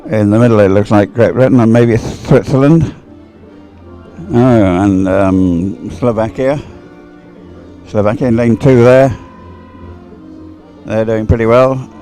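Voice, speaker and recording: Older low-voiced male sports commentator, rambling. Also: slow, long pauses, warm tone, soft background music, poor audio. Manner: hesitant, chatty, rambling. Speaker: sports commentator, former umpire. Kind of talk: live dragon boat race commentary with rambling asides.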